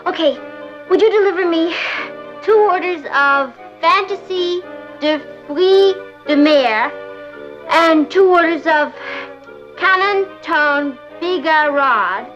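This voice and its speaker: Excitable schemer teen girl, high voice, theatrical and wry, old sitcom, muffled audio. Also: moderate pace, pauses often, soft background music. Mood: affectedly grand. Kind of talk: vintage TV sitcom acting.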